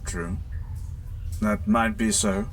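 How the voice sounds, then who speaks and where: Man playing a creaky, wary fantasy king. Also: high voice, huge pitch swings, takes it slowly, a little hiss or hum, exaggerated voice. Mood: wary, haughty. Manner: clipped, suspicious, regal questioning. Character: wary, haughty fantasy king, shrewd negotiator. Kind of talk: fantasy audio roleplay.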